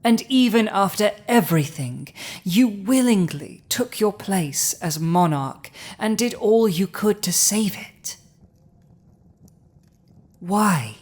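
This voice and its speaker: A woman voicing a proud, domineering female dragon with an awkwardly tender side, in a dramatic ASMR roleplay, speaking deliberately with a husky, breathy voice. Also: mid-range voice, lively intonation, darkish tone. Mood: intense, indignant. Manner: slow, deliberate, imperious, with long pauses.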